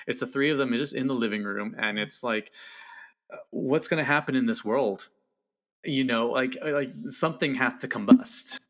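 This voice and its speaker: A man in a casual film discussion, halting as he searches for words and readily agreeing with his co-discussant. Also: mid-range voice, a bit bright. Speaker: podcast co-discussant.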